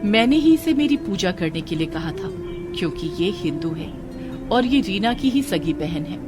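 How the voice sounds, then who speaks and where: A woman's voice: medium pitch, big pitch swings, normal speed, music in the background, natural voice. A woman voicing a solemn, commanding mother goddess in a devotional story, with an authoritative manner.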